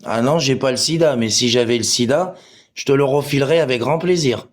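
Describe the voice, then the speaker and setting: A man's voice: mid-range voice, fairly quick. A man, crude and abusive, arguing with commenters on a livestream and swearing freely. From Portugal. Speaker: live stream participant. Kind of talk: livestream chat replying to commenters.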